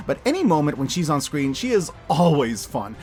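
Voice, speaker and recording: A man in his thirties giving an opinionated scripted review commentary, sarcastic, animated and emphatic in his delivery. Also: fairly high pitch, moderate pace, faint music behind. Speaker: video host reviewing films.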